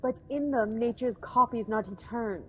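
A woman's voice: a little high, normal speed, darkish tone, lo-fi sound, a little hiss or hum, lightly acted. An actress playing Lady Macbeth, a cold ambitious queen, in a classic radio drama. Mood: coaxing.

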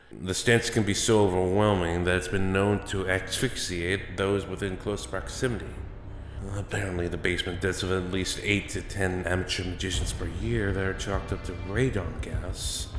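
Man as low-voiced brooding vigilante in echoing reverb. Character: brooding male vigilante hero narrating his thoughts. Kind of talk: comic book dub narration. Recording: echoey long reverb, music behind.

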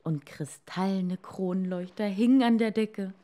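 A woman narrating a scripted fairy tale as a storyteller, in an expressive and deliberate manner for children. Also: medium pitch.

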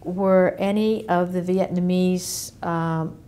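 A woman asking questions as an oral history interviewer, measured and attentive. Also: lowish voice, fairly even intonation, dark tone.

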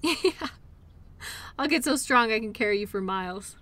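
A woman's voice: fairly high pitch, lively intonation, normal speed, fairly bright tone. A woman playing a caring, upbeat girlfriend in an intimate roleplay audio, talking in a soothing, playful, encouraging way. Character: caring, upbeat girlfriend comforting an injured partner.

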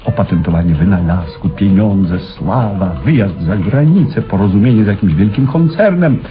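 A low-voiced eccentric inventor, played by a male actor in a radio drama. His delivery swings widely in pitch as he tells of his obsession with theatrical pauses. The old recording is dull, with faint music. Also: animated, lightly acted. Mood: wistful, dreamy. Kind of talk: Polish radio play acting.

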